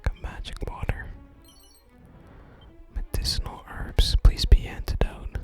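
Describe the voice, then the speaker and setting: A person whispering ASMR commentary while playing a video game and reading its text aloud, in a breathy, unvoiced whisper. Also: measured pace, soft background music.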